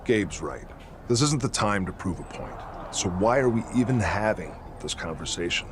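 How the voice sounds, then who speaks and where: A man playing a blunt, low-voiced veteran wrestler. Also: lively intonation, moderate pace, background noise, natural voice. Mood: firm. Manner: authoritative, blunt. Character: stern, commanding veteran male wrestler leading a faction. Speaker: wrestler, leader of a stable. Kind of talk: video game cutscene acting.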